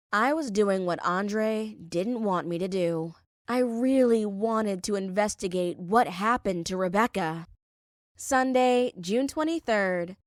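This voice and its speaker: A woman's voice: medium pitch, no room sound, studio quality. A woman reading scripted first-person fiction as an audiobook narrator.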